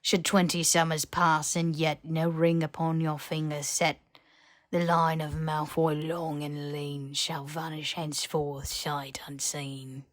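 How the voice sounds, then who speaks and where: A woman plays a small, old male house-elf from a fantasy audiobook. He has a raspy voice and intones ominous rhymes at a very slow, solemn pace. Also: low-pitched, flat delivery, no room sound. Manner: slow solemn reciting of verse.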